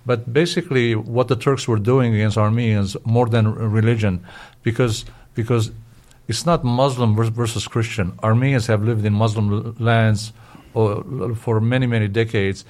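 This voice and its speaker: An older man from Syria explaining history as an interview guest, haltingly, searching for words and repeating himself. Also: lowish voice, fairly even intonation, darkish tone.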